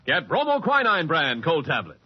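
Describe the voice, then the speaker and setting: A man reading a 1950s radio advertisement as an emphatic, hard-selling pitchman with a husky voice. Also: wildly swooping pitch, normal speed, no room sound. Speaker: commercial pitchman. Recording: dull old radio recording.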